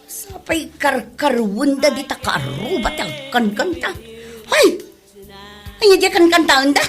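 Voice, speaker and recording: Woman as a high-pitched, grumbling, nagging mother. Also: very animated, a little slow, soft background music. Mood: exasperated. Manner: exasperated, nagging, swooping. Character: grumpy, complaining mother irritated by noisy neighbours. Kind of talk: Ilocano comedy drama acting.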